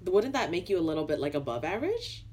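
A woman hosting a podcast who asks questions in a casual, lively way. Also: fairly low pitch, quick pace, a little hiss or hum. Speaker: podcast host. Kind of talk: casual interview chat.

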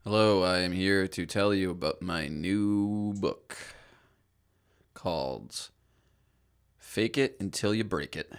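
A teenage boy with a low, gravelly voice joins a casual podcast as a guest. He is laid-back and teases the host. The recording is dry and close. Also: slow, pauses often, no room sound.

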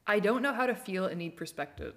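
A woman's voice: mid-range voice, animated, quick pace. A woman co-hosting an advice show, chatting casually and reading listener questions aloud.

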